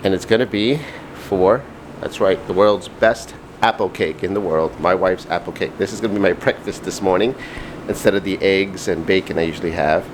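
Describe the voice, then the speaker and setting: Man, low pitch, casual vlog talk, rambling, noisy kitchen recording with appliance hum. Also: normal speed. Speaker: vlogger. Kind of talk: casual chat to viewers. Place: home kitchen.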